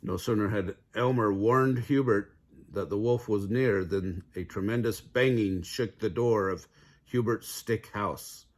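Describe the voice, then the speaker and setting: A man narrating a children's fairy tale aloud in an expressive storytelling style. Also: on the low side, measured pace. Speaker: storybook reader.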